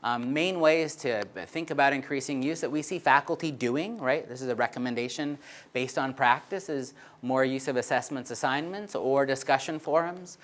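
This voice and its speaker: A man's voice: mid-range voice, moderate pace, fairly bright tone. A man giving a conference talk on research findings, explaining charts with frequent fillers and "right?" checks. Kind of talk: conference presentation.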